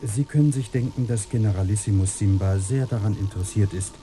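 A man's voice: lowish voice, a little fast, warm tone, a little hiss or hum, lightly acted. A man playing a dry, matter-of-fact doctor in a radio play. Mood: dry, discreet.

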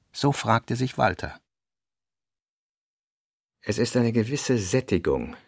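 A man's voice: mid-range voice, no echo. Male literary narrator, dry close sound. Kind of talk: scripted literary narration with quoted dialogue.

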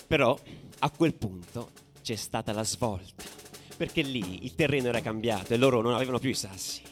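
A man's voice: mid-range voice, normal speed, soft background music, close to an everyday voice. A man acting as a combative football ultra in a radio play, telling his story in colloquial, dramatic style. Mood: fierce, shouted urgency. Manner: colloquial, swinging from hushed to shouting. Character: angry, combative male football ultra recounting street battles. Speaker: actor narrating a monologue.

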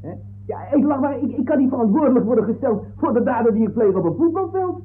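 An actor playing a muddled, excitable football fanatic on trial in a 1960s comic radio play, stammering and rambling. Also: male voice, very high voice, fast. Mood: pleading, earnest. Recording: old narrow-band radio recording.

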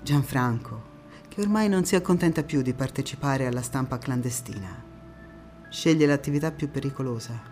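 An actress playing a passionate wartime partisan woman in a theatrical monologue, phrasing every line with deliberate emphasis. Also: very deep voice, animated. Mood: measured narrating. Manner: emphatic, deliberate phrasing swinging between hushed intimacy and fervour. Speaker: stage actress. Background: music.

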